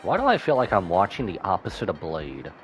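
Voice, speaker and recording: A man's voice: low voice, sing-song, a little fast, over music. A man giving casual, wry live commentary as he streams a game, joking and reading bits aloud.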